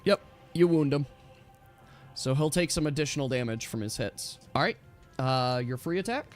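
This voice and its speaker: Higher-voiced man running a tabletop game. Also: expressive, moderate pace, soft background music. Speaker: game master. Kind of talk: casual running of a tabletop role-playing game.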